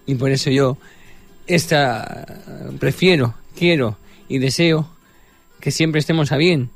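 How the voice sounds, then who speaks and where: A man from Catalonia with an Andalusian accent, opening his radio show in an earnest, measured way. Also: a little high. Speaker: radio host.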